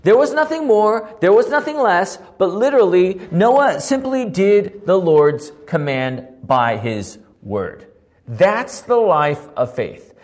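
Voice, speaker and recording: A man with a hoarse voice teaching a Bible passage, asking questions and answering them himself. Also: high voice, big pitch swings, takes it slowly, darkish tone. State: losing his voice, hoarse. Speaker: pastor teaching a Bible study.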